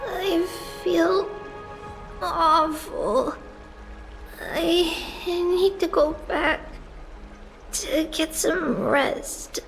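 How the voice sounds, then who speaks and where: Very high, slow little girl. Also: creaky, faint music behind, exaggerated voice, low-quality recording. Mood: weary, sorry. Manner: childlike, halting, plaintive. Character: small childlike girl, ailing, tender and apologetic.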